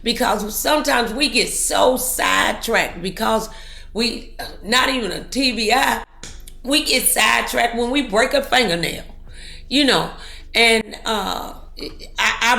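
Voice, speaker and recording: A woman's voice: on the high side, unhurried, creaky, pushed and bright, echoey room, soft background music. A woman hosting a talk show, speaking in an encouraging, preachy way full of motivational sayings.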